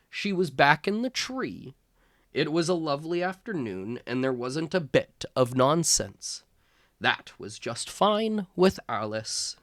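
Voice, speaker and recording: A man who works as a tutor, reading a children's storybook aloud in an expressive, measured storytelling manner. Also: mid-range voice, no room sound.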